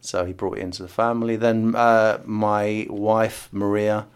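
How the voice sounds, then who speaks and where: A man's voice: fairly low pitch, little pitch movement, unhurried, clear, resonant tone. A middle-aged man from the UK, a podcast guest talking casually with many fillers and restarts. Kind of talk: casual interview conversation.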